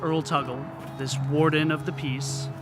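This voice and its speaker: A man's voice: on the high side, level tone, measured pace, over loud music. A man reading scripted narration as a radio reporter.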